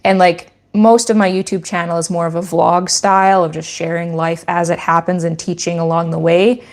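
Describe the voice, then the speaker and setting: A Canadian woman with a slightly low voice chats casually with her livestream viewers. She explains things like a teacher and often restarts her sentences as she rambles along. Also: flat delivery, normal speed. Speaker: goat farmer and channel host.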